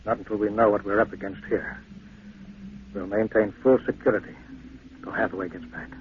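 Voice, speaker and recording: An actor playing a stern, cautious spaceship captain in a 1950s radio drama, with a clipped, commanding manner. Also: male voice, on the low side, steady tone, brisk, natural voice. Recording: noisy, narrow-band 1950s radio recording.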